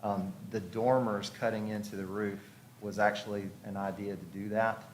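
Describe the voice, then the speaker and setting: A man's voice: on the low side, level tone, normal speed. A man giving an informal explanatory presentation at a public hearing, conversational and thinking aloud as he goes. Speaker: house designer presenting to a review commission. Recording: echoey room, clearly audible background noise.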